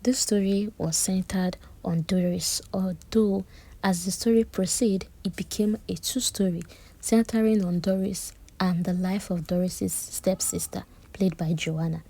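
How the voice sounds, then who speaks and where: A woman gives a chatty, animated recap of a reality-show drama, with personal asides. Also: mid-range voice, unhurried. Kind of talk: casual recap commentary.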